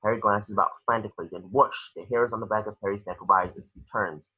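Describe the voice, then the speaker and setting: A man narrates screenplay action in a lowish, husky voice with a forward, bright edge. He reads stage directions as at an amateur table read and trips over the odd word. The recording is slightly dull and homemade. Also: steady tone, fairly quick. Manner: stumbles over words while reading.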